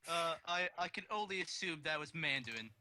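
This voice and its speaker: A man's voice: fairly high pitch, lively intonation, brisk, pushed and bright, slight background noise. A man chatting on a casual group voice call, hesitant and full of fillers.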